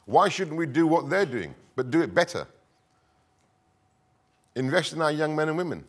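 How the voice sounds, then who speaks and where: A middle-aged man from the north of England, speaking earnestly at a conference in short, deliberate phrases with emphatic repetition. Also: on the high side, sing-song. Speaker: conference speaker, counter-extremism expert. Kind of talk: conference presentation, semi-improvised public speaking.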